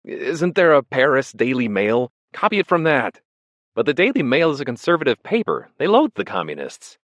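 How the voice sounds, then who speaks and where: A man's voice: mid-range voice, very animated, normal speed, no room sound, highs cut off, pitched above the performer's own voice, lightly acted. A man voicing an eager, scheming young Russian waiter who talks in an urgent, persuasive way. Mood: eager, coaxing.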